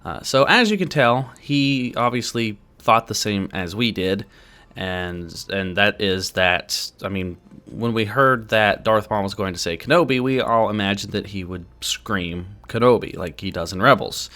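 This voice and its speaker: Male gaming news host, lower register, casual commentary for an online channel. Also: measured pace, pushed and bright.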